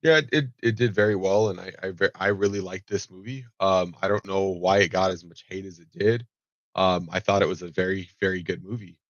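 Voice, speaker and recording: A man's voice: sits low, fairly even intonation, a little fast, no room sound. A man with a nasal, allergy-stuffed voice giving casual reaction commentary, rambling with many ums and restarts and swearing offhand. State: nasal from allergies, slight cough. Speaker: commentary vlogger. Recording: dull, lo-fi home recording.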